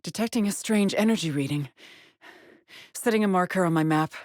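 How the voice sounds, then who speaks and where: An actress voicing a weary, driven middle-aged woman exploring space in a video game, with a husky, clipped and solemn delivery. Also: on the low side, a little fast, darkish tone, no echo, studio quality, lightly acted. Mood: focused, measured. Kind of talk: dramatic game voice lines, terse log entries.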